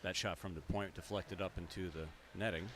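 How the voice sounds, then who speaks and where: A man's voice: low voice, brisk, noisy background. A man doing casual play-by-play of a youth hockey game from the stands while filming. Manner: brief calls, excitable on goals. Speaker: play-by-play commentator and camera operator. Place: rink stands. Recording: rink ambience behind, clear.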